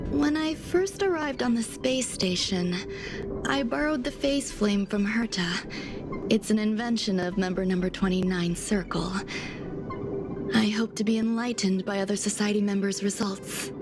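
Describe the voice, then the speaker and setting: A woman playing a poised, enigmatic genius scientist in a video game, speaking formally in a measured, breathy way over music. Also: a little high. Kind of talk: scripted game dialogue. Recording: music under the voice.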